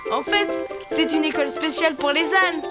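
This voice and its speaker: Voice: high voice, normal speed, natural voice. A performer voicing a cheerful, helpful young child who explains things encouragingly in a preschool cartoon. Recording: music behind the voice.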